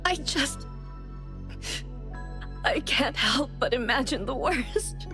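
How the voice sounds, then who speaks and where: A woman playing an anxious, gentle middle-aged mother in a video game, fretful and apologetic about her missing boy. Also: sits high, animated, slow pace, over music, full character voice. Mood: distressed. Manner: soft-hearted, apologetic, fretful.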